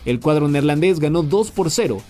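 A man hosting a sports news podcast, reading the day's football stories in a brisk, scripted way. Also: on the high side, music in the background. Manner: brisk, even headline delivery.

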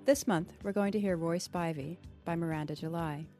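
Composed, mellow woman hosting a podcast. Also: on the low side, moderate pace, soft background music. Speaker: podcast host. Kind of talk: scripted podcast introduction and literary interview.

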